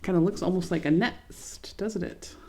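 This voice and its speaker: A young adult woman reading a picture book aloud to children, gently commenting and asking them questions. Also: fairly low pitch, normal speed, a little hiss or hum. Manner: gentle, asks listeners questions, adds comments. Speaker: children's story reader.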